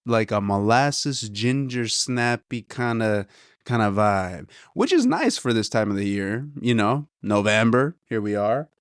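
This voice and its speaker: Laid-back low-voiced man, slow and rambling. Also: clear, resonant tone, no room sound, studio quality. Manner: relaxed, rambling, says "dude" and "you know" a lot. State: a little nasal. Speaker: podcast host reviewing coffee. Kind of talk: casual solo chat.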